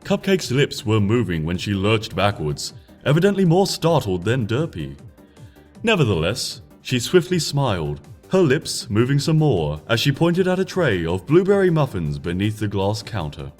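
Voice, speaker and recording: A man narrating a story from a script in an expressive, measured audiobook style, with music playing behind him. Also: fairly low pitch. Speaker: fan fiction reader, narrator. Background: background music.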